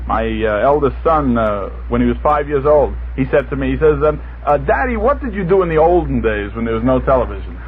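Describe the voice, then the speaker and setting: Halting man on tinny old radio. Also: mid-range voice, big pitch swings. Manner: halting, stammering, repeats words. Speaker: panelist on a radio talk show. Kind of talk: casual radio conversation. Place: radio studio. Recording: noisy, tinny 1960s radio recording.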